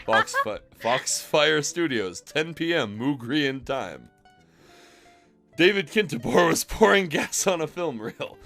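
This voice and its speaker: A man doing a mocking, theatrical reading of fan fiction on a livestream, animated and given to disbelieving asides. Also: fairly high pitch, slow pace, creaky, faint music behind.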